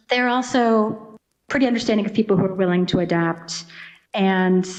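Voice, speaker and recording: A woman's voice: medium pitch, a little mellow. A woman speaking as an expert guest, explaining things conversationally and acting out little imagined quotes as she goes. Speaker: Egyptologist, interview guest. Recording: dull, lo-fi audio.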